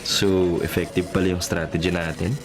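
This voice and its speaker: An actor with a Filipino accent playing a lovestruck young boss, joking and conspiratorial, in a radio drama. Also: male voice, low voice, flat delivery, normal speed, close to an everyday voice. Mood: playful, scheming. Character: scheming, playful lovestruck young male boss. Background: loud music.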